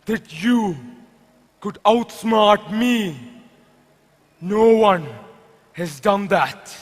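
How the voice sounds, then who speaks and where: A man plays a gloating criminal mastermind with an Indian accent. He is slow and pompous, savouring his taunts in a piercingly high voice. The stage-drama delivery echoes around a large hall. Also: fairly bright tone. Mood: smug, taunting. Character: gloating male criminal mastermind, arrogant puppeteer villain. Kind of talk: amateur stage drama dialogue. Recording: echoey hall, long reverb.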